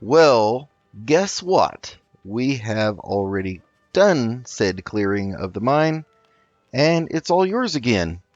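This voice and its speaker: A man's voice: mid-range voice, sing-song, takes it slowly, dry recording. A man hosting a casual roleplay let's play, chatting and thinking aloud as he goes. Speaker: gaming video host. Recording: slightly dull mic, game music underneath. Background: faint game music.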